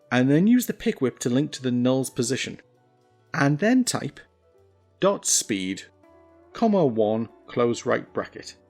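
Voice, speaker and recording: A man narrating a scripted software tutorial, giving clear step-by-step instructions with wry, self-deprecating asides. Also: medium pitch. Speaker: tutorial presenter.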